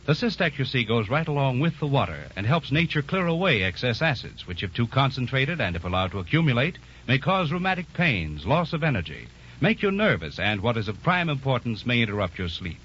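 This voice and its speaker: A man's voice: medium pitch, big pitch swings, fairly quick, fairly bright tone, slight background noise. A man reading a 1940s radio commercial as announcer, earnest, persuasive and carefully enunciated. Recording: muffled, humming 1940s radio recording.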